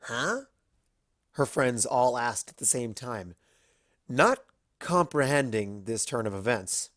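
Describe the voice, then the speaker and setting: A narrator reading a fan-fiction story aloud in an expressive storybook style. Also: mid-range voice, a little slow, dry recording, studio quality.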